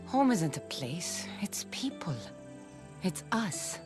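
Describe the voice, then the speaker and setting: A woman's voice: on the low side, sing-song, takes it slowly, music in the background. A woman playing a weary but tender young mercenary mother in a video game cutscene, dry and affectionate.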